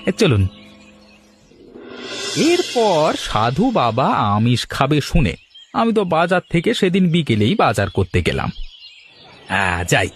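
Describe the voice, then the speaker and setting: An excitable, gullible village man in a cartoon, voiced by a male actor. He talks with wide swings in pitch and trips over his words, with music behind him. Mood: eager, flustered. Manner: stammering, excitable. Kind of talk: cartoon story acting and narrating.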